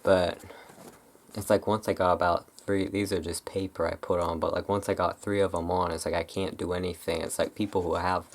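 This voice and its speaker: An ASMR creator chatting casually and unscripted, in a halting, rambling way that often breaks off mid-thought. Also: low voice, little pitch movement. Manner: halting, rambling, self-interrupting.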